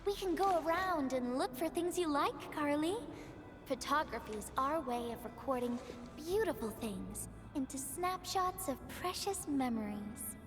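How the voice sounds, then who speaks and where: A gentle young game heroine with a very high, sweet voice. She sounds coaxing and encouraging, as if looking after a small child, with clear music underneath. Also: lively intonation, a little slow. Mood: warm, encouraging. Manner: soft-hearted, coaxing, sweet. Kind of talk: video game character acting.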